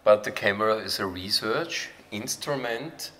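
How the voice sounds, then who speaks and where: A man's voice: fairly low pitch, measured pace, a bit bright. A man hosting a live talk event, introducing presenters in a hesitant way as he searches for words. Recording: noisy live event room.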